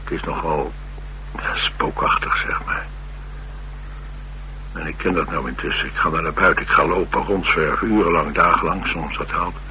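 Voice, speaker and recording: An actor with a Netherlands Dutch accent playing a troubled, blunt ex-soldier and restless drifter in a radio drama, wry and halting in delivery. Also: male voice, rumbling low, pushed and bright, heavily acted, rough recording. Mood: troubled, uneasy. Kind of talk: radio drama dialogue acting. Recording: radio drama with clear hiss and hum.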